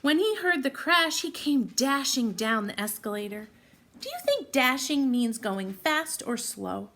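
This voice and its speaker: Slow, high-voiced woman reading to children. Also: a little hiss or hum. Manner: expressive, simple teacher-like phrasing addressed to children. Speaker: read-aloud storyteller for children. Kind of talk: children's picture book read-aloud with questions to young listeners.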